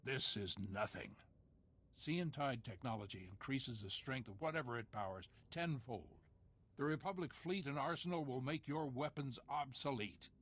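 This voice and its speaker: An actor playing a proud, scheming general in a video game, speaking in a grandiose, declamatory way. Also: male voice, mid-range voice, expressive, normal speed, a bit bright. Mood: defiant boasting. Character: proud military general, defiant scheming villain.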